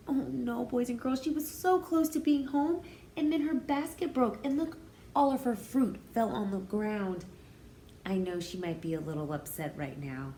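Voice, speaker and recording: Lively, mellow woman reading to young children. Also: medium pitch. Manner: expressive, explanatory, addressing young children. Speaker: pre-kindergarten teacher reading aloud. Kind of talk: children's picture-book read-aloud with explanations. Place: small room indoors. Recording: roomy indoor echo, faint background noise.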